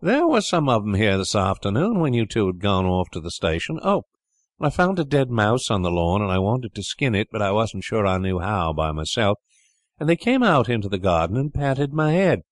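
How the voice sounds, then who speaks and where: A man's voice: fairly low pitch, big pitch swings, moderate pace, no echo, studio quality, pitched above the performer's own voice. A man voicing a little boy who rambles artlessly and sounds indignant, in an audiobook reading. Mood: aggrieved.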